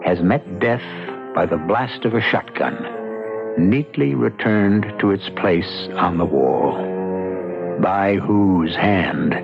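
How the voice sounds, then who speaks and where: A man hosting and narrating a radio mystery in a theatrical, portentous old-time style with deliberate phrasing. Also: fairly low pitch, creaky, highs cut off. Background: music.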